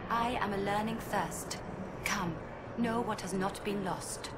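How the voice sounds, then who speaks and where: A woman playing an ancient, courteous spirit of learning in a video game, speaking formally in halting, fragmented phrases. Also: mid-range voice, natural voice. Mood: serene. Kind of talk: cinematic video game dialogue. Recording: echoing, with loud ambient noise.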